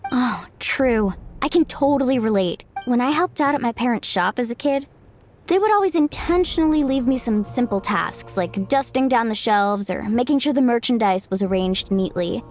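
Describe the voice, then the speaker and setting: Young female game character, acted by a woman: very high, cheerful, chatty, lots of melodic movement. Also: normal speed, natural voice. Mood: upbeat, playful. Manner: bubbly, expressive. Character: cheerful, chatty young shopkeepers' daughter, eager and playful. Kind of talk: video game voice acting. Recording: light music behind.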